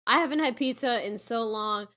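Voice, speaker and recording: A woman's voice: fairly high pitch, moderate pace, bright, forceful tone. A woman chatting casually and enthusiastically as a podcast host, with emphatic delivery. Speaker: podcast host and player in a tabletop game. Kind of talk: casual banter on a tabletop game podcast.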